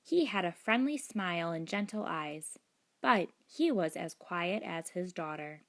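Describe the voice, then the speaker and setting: Slow, slightly creaky woman storybook narrator. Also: on the low side, expressive, a bit bright. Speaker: storybook reader. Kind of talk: scripted children's book narration.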